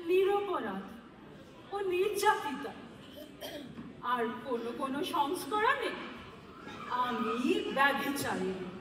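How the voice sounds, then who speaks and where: An actress playing a proud, wronged mythological wife in a theatrical stage monologue, deliberate and bitterly ironic. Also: female voice, high-pitched, full character voice. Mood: solemn, wounded. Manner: dramatic, deliberate, declamatory with bitter irony. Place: stage before a live audience. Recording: echoey hall, distant mic, audible crowd.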